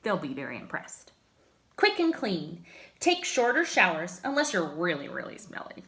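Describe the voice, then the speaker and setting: A woman reading a children's picture book aloud like a teacher, with expressive, emphatic phrasing. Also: lowish voice, moderate pace. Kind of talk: children's story read-aloud.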